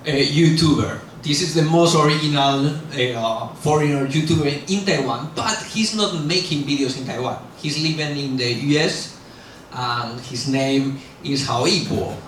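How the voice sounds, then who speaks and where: A middle-aged man, not a native English speaker, giving a loose, rambling university talk full of fillers and self-corrections, blunt and self-mocking. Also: on the high side. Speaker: guest speaker at a university lecture. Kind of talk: casual lecture talk with Q&A. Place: large echoey lecture hall. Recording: very echoey hall, distant mic, faint hiss.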